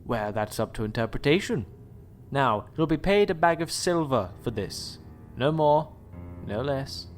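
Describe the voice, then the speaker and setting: Man acting as slow, sinister, polite doctor. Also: mid-range voice, lively intonation, faint music behind, lightly acted. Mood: firm, threatening. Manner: unctuous, measured, formal menace. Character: sinister, coolly polite male doctor who experiments on people. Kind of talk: audio drama acting.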